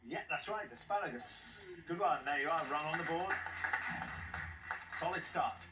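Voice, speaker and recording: A man hosting a television quiz show, jovial and quick to chuckle at the contestants. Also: fairly high pitch, over loud music. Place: TV studio. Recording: echoey studio, mic at a distance, faint hiss.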